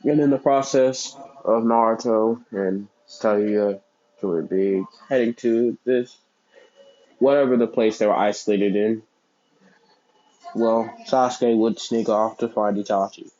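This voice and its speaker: A young man casually telling a fan fiction story out loud, rambling and correcting himself as he goes. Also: lowish voice, fairly even intonation, measured pace, highs cut off. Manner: rambling, self-correcting, many fillers.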